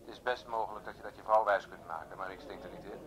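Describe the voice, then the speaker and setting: A man's voice: low-pitched, fairly even intonation, fast, a crowd in the background, natural voice, low-quality recording. A man playing a dry, teasing police detective in a Dutch radio play. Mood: wry, teasing. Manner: wry, teasing. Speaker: actor in a radio drama.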